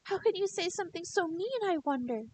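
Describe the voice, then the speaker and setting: A woman voicing a sweet but unsettling teenage girl, high and sing-song, who turns shrill with pained apologies. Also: lively intonation, a little fast, darkish tone. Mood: wounded.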